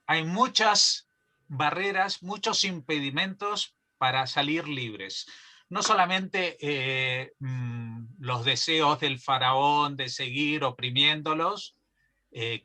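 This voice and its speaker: A man moderating an online panel, asking a long, deliberate question with many pauses. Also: medium pitch, sharp tone.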